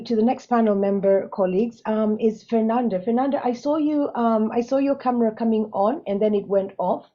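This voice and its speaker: A woman with Swahili as her first language runs an online panel, chatty and laughing at her own slips, with a mellow, dark voice. the call audio is dull and lo-fi. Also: medium pitch. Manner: warm, chatty, laughs at herself, frequent ums. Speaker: webinar moderator. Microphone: video call.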